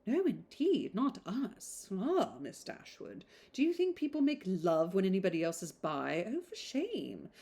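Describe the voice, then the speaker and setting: A woman's voice: medium pitch, big pitch swings, a little slow, gravelly, hardly any pauses, mellow, slightly rough, full character voice. A woman voicing a gossipy, affected young woman from a period novel, chattering in exclamatory run-on sentences. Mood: coy, affected. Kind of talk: novel read aloud.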